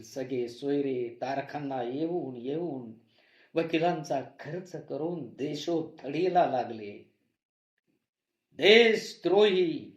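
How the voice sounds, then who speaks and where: A man playing an anguished narrator who cries out for justice, declaiming a theatrical monologue with emphatic repetition. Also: fairly high pitch. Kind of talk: theatrical monologue in a play reading. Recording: echoey room recording.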